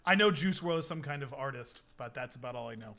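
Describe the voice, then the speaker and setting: A man's voice: mid-range voice, expressive, quick pace, creaky, a bit bright. A man with a Midwestern American accent, rambling through casual live-stream chat with many restarts and frequent chuckles. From United States.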